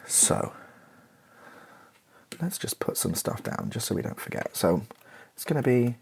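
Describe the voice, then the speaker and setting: A British man doing casual, improvised ASMR, thinking aloud in hesitant fragments with a soft, breathy, partly whispered voice. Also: fairly low pitch, a little hiss or hum. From Britain. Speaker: ASMR video creator thinking aloud while writing.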